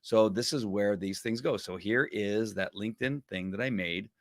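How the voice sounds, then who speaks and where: A middle-aged man hosting a live video show, walking through a screen-share tutorial in a chatty, rambling way with lots of fillers, recorded on a boom mic in a small home studio. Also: moderate pace, no room sound. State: recovering from losing his voice. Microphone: studio mic on boom arm.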